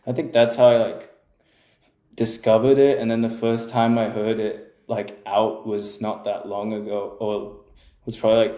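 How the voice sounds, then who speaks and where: A man in his twenties, a music producer, chatting casually in an interview and often pausing with fillers and restarts. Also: fairly low pitch, dark tone, reverberant. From England. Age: 20s. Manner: hesitant, many fillers and restarts.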